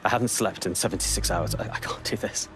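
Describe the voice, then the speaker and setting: Deep-voiced, secretive intelligence agent. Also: male voice, fast. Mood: weary, anxious. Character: weary, secretive male intelligence agent with a scheming streak. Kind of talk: video game cutscene acting. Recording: loud music under the voice.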